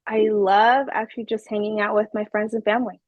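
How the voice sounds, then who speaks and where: A woman's voice: medium pitch, flat delivery, a little fast, no room sound. Woman guest, bubbly and talkative; dry, slightly muffled audio. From San Jose, California. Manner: bubbly, talkative, laughs often. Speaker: podcast guest, life coach. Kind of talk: casual interview chat.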